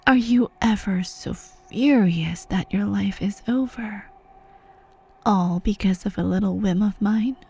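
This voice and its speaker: A woman acts out a cruel sorceress doting on her helpless pet in an ASMR roleplay. Her voice is breathy and soft-edged at a medium pitch, and she lingers slowly over her words. She mixes sugary baby talk with gleeful, mocking menace. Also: heavily acted. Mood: taunting, gloating. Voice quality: breathy, often dropping into whispers. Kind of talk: ASMR roleplay monologue addressed to the listener.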